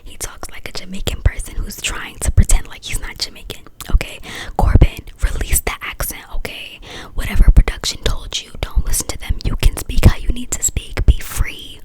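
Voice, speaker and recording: A woman's voice: normal speed, low-quality recording. Whispering woman chatting casually. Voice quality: breathy whisper. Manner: opinionated, informal slangy phrasing. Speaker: vlogger reviewing a reality dating show. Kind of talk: whispered casual opinion chat, ASMR-like.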